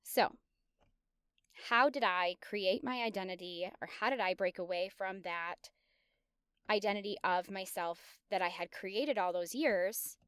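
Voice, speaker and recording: A woman's voice: medium pitch, a little slow, a bit bright, smooth, dry recording, studio quality. A woman in her thirties hosting a podcast, telling her own story in a candid, confessional, self-reflective way. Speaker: podcast host. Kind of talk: personal storytelling monologue.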